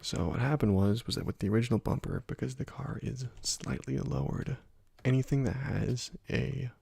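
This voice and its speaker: A young man hosting a gaming ASMR video, talking softly and slowly, often dropping to a whisper, with frequent ums. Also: mid-range voice, steady tone, vocal fry, breathy edge, no room sound, studio quality. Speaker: gaming ASMR channel host. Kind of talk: soft-spoken ASMR gameplay commentary.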